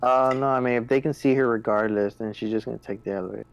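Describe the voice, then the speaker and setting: A man's voice: mid-range voice, steady tone, quick pace, vocal fry, clear, resonant tone, highs cut off. A man chatting casually as a player in a tabletop roleplaying game, thinking out his moves aloud.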